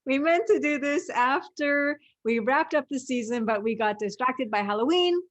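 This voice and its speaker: A woman's voice: high voice, animated, normal speed, a bit bright, dull audio. A woman hosting a casual cast discussion, with a joking, teasing way of talking. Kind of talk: casual chat.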